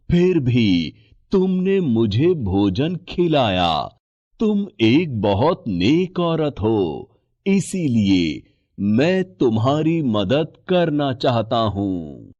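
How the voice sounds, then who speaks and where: An actor playing a kindly old wandering holy man, gentle and solemn, in a moral story. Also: mid-range voice, no room sound, dull audio, exaggerated voice. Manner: slow, solemn, drawn-out.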